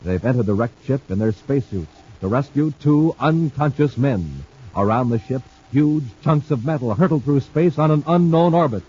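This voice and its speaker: A male radio announcer reading ads and promos in a booming, salesy, emphatic 1950s style. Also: expressive, normal speed, warm tone, breathy edge. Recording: muffled old radio transcription with faint hiss.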